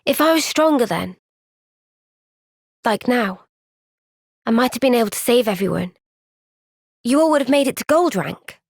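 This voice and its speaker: A woman with a British accent voicing an earnest, gentle, thoughtful young woman soldier in a video game cutscene. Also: fairly high pitch, animated, moderate pace, vocal fry, long pauses, dry recording, clean studio sound.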